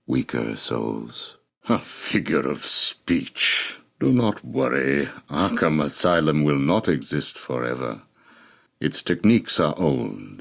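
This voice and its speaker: An actor playing a calm, manipulative psychiatrist villain in a video game, speaking in a measured, formal, condescending way with cold menace. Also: male voice, extremely low pitch, gravelly, full character voice. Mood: calculating, persuasive. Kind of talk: dramatic video game voice acting.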